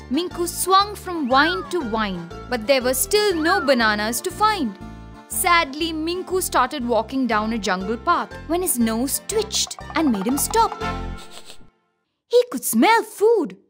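Casting: female children's storyteller, high voice, theatrical and expressive, music underneath. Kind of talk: animated scripted children's story narration.

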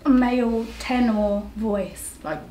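High-voiced giggly young woman. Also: takes it slowly, crowd noise. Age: young adult. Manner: giggly, chatty. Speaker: sister of the host, guest. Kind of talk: casual sibling banter. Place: bedroom, indoors. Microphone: camera on a tripod, a few feet away. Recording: echoey bedroom, faint hiss.

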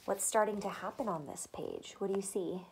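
A young adult woman, a teacher, reading a picture book aloud to small children with expressive delivery and simple chatty questions. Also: mid-range voice, a little fast, creaky, a little hiss or hum, poor audio. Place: small furnished room at home, indoors.

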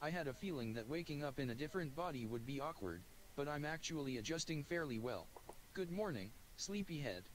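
Flat synthetic male narrator. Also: a little high, fast, few pauses, a little hiss or hum, poor audio. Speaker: visual novel narrator. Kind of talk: text-to-speech game narration.

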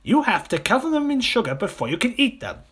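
A man's voice: huge pitch swings, brisk, fairly bright tone, breathy edge, heavily acted. A man voicing a smaller, fussy crocodile in a children's storybook, protesting and scolding in an emphatic, disapproving way. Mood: disgusted. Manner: emphatic, protesting, swooping high.

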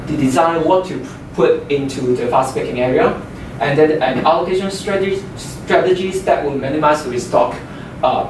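A young man, a native Chinese speaker, opening a class project presentation, hesitant with many fillers. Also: a little high. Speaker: student presenter. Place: classroom with a projector screen, indoors. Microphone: far room microphone. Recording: echoey room from far off, noisy.

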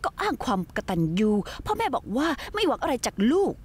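A spiteful young woman sneers and mocks her rival. Her voice is lively in pitch and carries a sarcastic edge in this dubbed drama. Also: a little fast. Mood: taunting. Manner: taunting, sarcastic.

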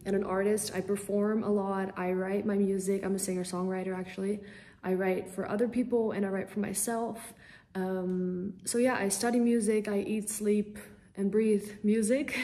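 A woman's voice: mid-range voice, fairly even intonation, normal speed, darkish tone. A young woman from the Netherlands, a singer-songwriter answering interview questions casually over a video call from a small room at home, bubbly and eager with frequent ums.

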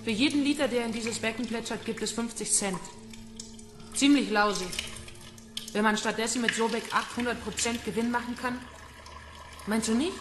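A woman's voice: a little high, fairly even intonation, normal speed, pauses often, natural voice. An actress playing a cold, mocking female kidnapper in a radio crime drama, taunting with cool control. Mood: taunting, controlled. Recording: echoey pool-like room, music behind.

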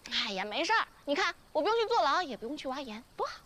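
Bright-voiced, coy farm girl, high and lively, over drama score. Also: fast talker, a little hiss or hum. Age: young adult. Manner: playful and coy, teasing. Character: lively, scheming young peasant girl. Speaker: lead actress. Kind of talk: television period drama acting. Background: music score.

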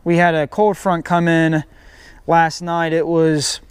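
Chatty man fishing outdoors, high voice. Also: little pitch movement, slow, clean tone, no echo. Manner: talks to viewers as "guys". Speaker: fishing channel host. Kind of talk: casual fishing vlog chat. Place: outdoors by a creek culvert. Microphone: head-mounted action camera.

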